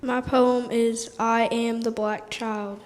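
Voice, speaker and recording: A woman reciting a poem in a measured, declarative way at a live program. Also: a little high, little pitch movement, background noise. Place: large church hall. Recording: echoey hall.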